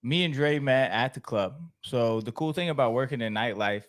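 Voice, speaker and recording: A man's voice: mid-range voice, moderate pace. A young male host with an ordinary mid-range voice, talking in a loose, laid-back way. He tells stories casually, often breaking into laughter and fillers. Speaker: podcast host. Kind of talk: casual podcast chat.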